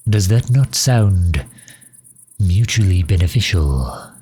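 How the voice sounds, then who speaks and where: A man plays an earnest male vampire diplomat in an intimate audio roleplay. His voice is very deep, soft and husky. He speaks slowly, with formal, old-fashioned courtesy. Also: lively intonation, full character voice. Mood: persuasive. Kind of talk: scripted ASMR roleplay, addressing the listener.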